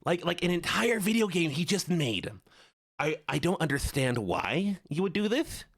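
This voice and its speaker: A man voicing an excitable, baffled nerd with an emphatic, incredulous manner on a livestream. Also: fairly high pitch, moderate pace, creaky, dry recording, studio quality, voice pushed up, exaggerated voice. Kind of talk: livestream voice bit for viewers.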